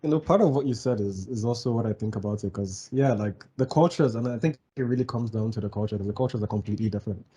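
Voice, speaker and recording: A man's voice: lowish voice, fast talker, few pauses, mellow, slight background noise. A young man from Nigeria co-hosting a podcast, talking bluntly and at length in casual discussion. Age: young adult. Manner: blunt, explains at length with restarts. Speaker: podcast co-host.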